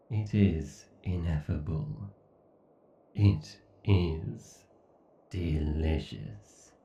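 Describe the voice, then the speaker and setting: A man voicing an arrogant, obsessed, sadistic AI god in a horror roleplay, pompous and menacing, with theatrical pauses. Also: sits low, vocal fry, reverberant, far from the mic, highs cut off, exaggerated voice. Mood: gloating, sadistic. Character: obsessed, sadistic, arrogant godlike male AI villain. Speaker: voice actor. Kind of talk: horror ASMR roleplay monologue.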